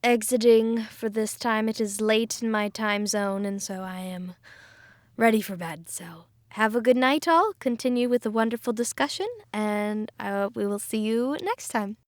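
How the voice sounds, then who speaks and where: A woman's voice: on the high side, normal speed, dry recording, clean studio sound. A woman chatting casually in a cast discussion, polite and appreciative in the way she talks. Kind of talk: casual chat.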